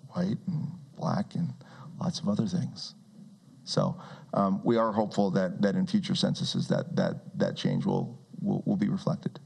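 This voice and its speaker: A man in his forties with a rough, gravelly voice, sitting low in pitch. He answers audience questions at a community meeting, speaking into a handheld mic. He talks in a loose, conversational way, restarting words and dropping wry asides. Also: a little mellow. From Brazil. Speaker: guest speaker. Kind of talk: public talk with audience questions.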